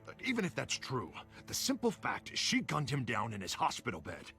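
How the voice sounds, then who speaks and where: An actor playing an earnest, frustrated district attorney in a game, speaking in an emphatic, exasperated way. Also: male voice, mid-range voice, huge pitch swings, brisk, gravelly, pushed and bright, faint music behind, full character voice. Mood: exasperated, worried.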